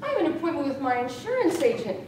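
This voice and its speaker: Echoey, theatrical high-pitched woman storyteller. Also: very animated, darkish tone. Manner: theatrical, deliberate storytelling with dramatic emphasis. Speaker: contest speaker. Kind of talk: humorous tall-tale speech to an audience. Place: small meeting room with rows of chairs. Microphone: far camera. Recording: echoey room from far off, noisy rumble.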